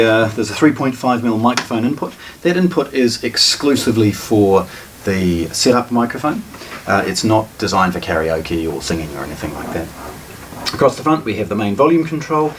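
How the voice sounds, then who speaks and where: A man with a lowish voice gives an unscripted product walkthrough. He is matter-of-fact and a little hesitant as he explains. The recording sounds distant from the microphone, with some noise behind him. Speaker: product presenter. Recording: distant mic, noticeable background noise.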